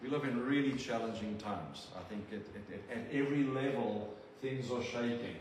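An older man with a South African accent, a visiting preacher giving an earnest sermon and prayer with halting restarts. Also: mid-range voice. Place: church. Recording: very echoey church from a distant mic with room noise.

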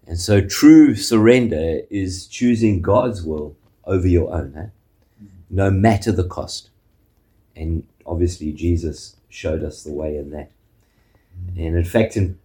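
A man with a South African accent preaching a sermon as pastor, measured, often ending points with a questioning "eh?". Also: low voice, warm tone.

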